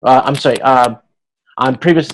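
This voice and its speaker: A male instructor gives an informal lecture at a middling pitch. He explains things step by step, with halting phrasing, and often slips into quoting imagined exchanges to illustrate a point. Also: a little hiss or hum. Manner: explanatory, halting, checks understanding, acts out hypothetical dialogue. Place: video conference class.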